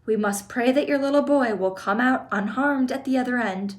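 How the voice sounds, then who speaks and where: A woman's voice: a little high, moderate pace, lightly acted. Eccentric chocolatier as a woman puts him on, theatrical, exclamatory, fussy, storybook read-aloud. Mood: fretful.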